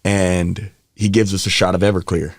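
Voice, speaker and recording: A man around thirty who grew up in Hawaii, a podcast guest telling stories from his life in a relaxed way, laughing often and getting animated as the story builds. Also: low voice, normal speed, no echo, clean studio sound. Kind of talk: candid storytelling interview. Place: podcast studio. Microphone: studio mic.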